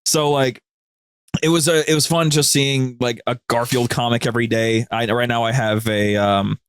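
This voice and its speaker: A man's voice: medium pitch, fairly even intonation, moderate pace, clear, resonant tone, no room sound, studio quality. A man chatting casually as a podcast guest, telling stories in an informal, rambling, slangy way. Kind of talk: casual, anecdotal chat.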